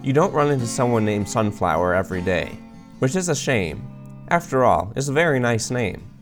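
A man hosting a podcast, reading scripted narration in an even, measured way. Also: mid-range voice, music in the background. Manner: measured, even read-aloud delivery. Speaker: podcast host, librarian.

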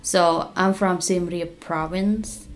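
Low-voiced young woman. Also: fairly even intonation. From Cambodia. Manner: halting, searching for words, with restarts. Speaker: guest, business founder. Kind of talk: casual interview talk.

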